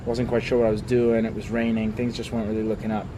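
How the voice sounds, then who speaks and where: A man's voice: on the low side, quick pace. Young guy from hong kong chatting about his travels, laid-back, traffic noise behind. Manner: relaxed storyteller, animated when telling anecdotes. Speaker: interviewee, traveler. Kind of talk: casual interview chat.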